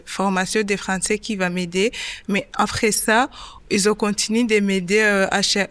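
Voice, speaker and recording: A woman in her twenties from Rwanda, answering interview questions in simple, halting French that is not her first language. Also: mid-range voice, a bit bright, clear, resonant tone, dry recording. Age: 20s. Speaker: interview guest, web development apprentice.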